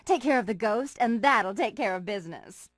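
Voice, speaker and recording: A woman playing a harried, eager-to-please hotel manager in a cartoon game, expressive and exasperated. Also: mid-range voice, normal speed, dry recording, studio quality, lightly acted.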